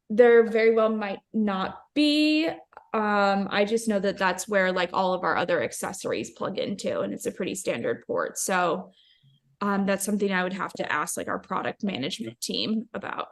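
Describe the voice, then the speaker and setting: Woman representative answering questions, mid-pitched. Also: animated, normal speed. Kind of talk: informal product question-and-answer.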